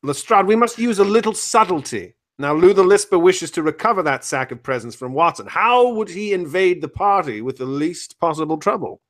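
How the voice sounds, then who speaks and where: A man playing a brisk, bossy, condescending detective who repeats himself, in a loose comic parody of radio drama. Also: sits high, animated, fairly bright tone. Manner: halting, repeats himself to correct others.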